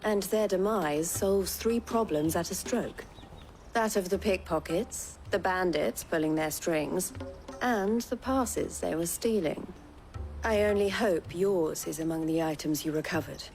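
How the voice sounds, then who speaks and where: An actress playing an assured, shrewd woman who leads a trading caravan in a fantasy game, speaking in formal, gently wry, measured phrases. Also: lowish voice. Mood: composed, curious. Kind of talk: scripted fantasy game voice acting. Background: background music.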